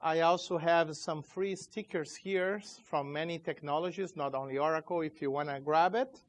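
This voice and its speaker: Chilean-born guy, slightly high voice, loose halting english at a tech talk. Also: fairly even intonation. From Chile, raised in Brazil. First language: Portuguese. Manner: informal, halting, loose grammar. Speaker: conference speaker, company CEO. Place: conference room.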